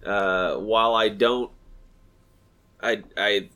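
Nasal-sounding man, low voice. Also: fairly even intonation, takes it slowly, sharp tone, smooth. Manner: rambling, self-correcting. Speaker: podcast co-host. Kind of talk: casual chat.

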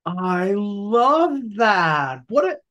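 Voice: mid-range voice, sing-song, extremely slow, fairly bright tone. A podcast host interviewing a guest with enthusiastic, effusive praise. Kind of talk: interview hosting.

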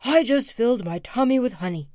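A gentle, mild-mannered bear who speaks softly and simply, as a woman puts him on, his voice lifting higher than her reading tone. Close, dry sound. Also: expressive, a little fast, no echo, lightly acted. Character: gentle slow-witted male bear, fond of honey.

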